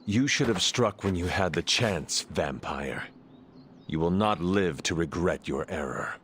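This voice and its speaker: An actor playing a stern, solemn half-demon monster hunter in a video game, speaking in formal, archaic phrases. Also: male voice, sits low, expressive, measured pace, a bit bright, a little hiss or hum. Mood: stern, wary. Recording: music behind the voice.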